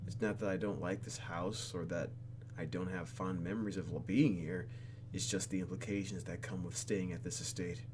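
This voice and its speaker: A man's voice: low-pitched, steady tone, moderate pace, background noise, natural voice. A man reading the part of a troubled, guarded young woman in a visual novel game, hesitant and conversational. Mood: guarded, reflective.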